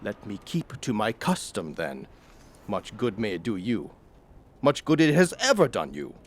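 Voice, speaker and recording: A man's voice: on the low side, very animated, unhurried, slight background noise. A man playing a bitter old miserly uncle in an audio drama, curt, sneering and sarcastic. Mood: cold, sarcastic.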